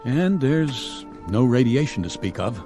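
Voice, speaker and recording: A man playing a stern, level-headed colonel commanding a stranded space crew in a radio drama, with a measured, authoritative manner. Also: medium pitch, sing-song, over music. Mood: resolute, matter-of-fact.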